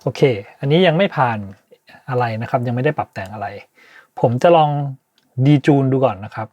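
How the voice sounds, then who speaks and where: A man hosting an informal software tutorial, speaking politely and hesitantly as he thinks aloud. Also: mid-range voice, lively intonation, brisk, dry recording. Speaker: tutorial host, sound designer.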